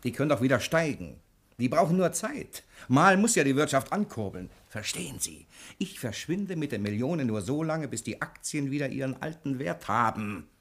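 Cocky, animated schemer. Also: male voice, medium pitch. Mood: cocky, impatient. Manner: brisk, self-assured, commanding, theatrical. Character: cocky, quick-witted male bank cashier plotting a sham robbery. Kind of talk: 1960 German radio crime drama acting.

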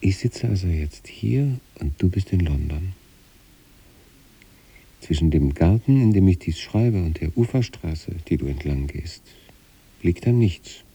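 A dark, husky, brooding male patient. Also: sits low, animated, a little slow, heavily acted. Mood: introspective, estranged. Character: troubled, detached male sanatorium patient writing letters to his wife. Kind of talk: radio play monologue, letters read as inner voice.

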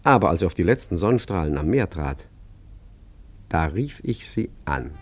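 A low-pitched male street painter who fancies himself a philosopher and a master forger. He talks with chatty irony and a touch of mock grandeur, in the manner of a radio play. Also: sing-song, moderate pace. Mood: musing, wry. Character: wry philosophical male street painter and self-proclaimed great art forger. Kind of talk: German radio play acting and narration.

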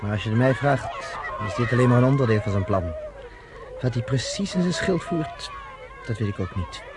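An actor playing a measured, thoughtful man in a radio drama. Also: medium pitch, expressive, crowd noise, background noise, natural voice.

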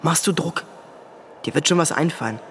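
A man's voice: a little high, moderate pace, noisy background, lightly acted. An actor playing a bossy, threatening teenage boy in a radio drama, commanding and coercive. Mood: menacing.

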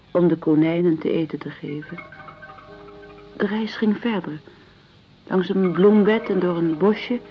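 A muffled radio-play recording with faint music. An actress as a genteel, wistful woman speaks very slowly in a fairly low voice, measured and reflective. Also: close to an everyday voice. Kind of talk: measured radio drama acting.

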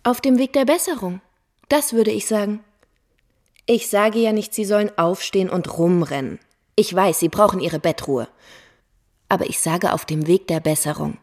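Actress as a brash, chatty masseuse. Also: female voice, mid-range voice, moderate pace, a little mellow, lightly acted. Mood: teasing, cheeky. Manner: breezy, teasing, familiar. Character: brash, chatty masseuse, bossy and playfully flirtatious. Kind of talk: radio play acting.

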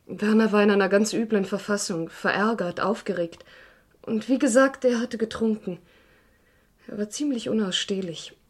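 An actress playing a composed, frank woman under police questioning in a crime radio play, measured and articulate. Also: mid-range voice, close to an everyday voice. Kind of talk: German crime radio drama acting.

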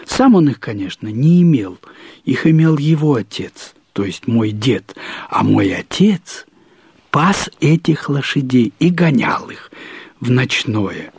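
A man reads literary prose as a storyteller, in a measured, deliberate way with pauses and emphatic repetition. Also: medium pitch, big pitch swings, a little mellow, husky, dry recording, clean studio sound. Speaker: narrator. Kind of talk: expressive literary narration of a short story.

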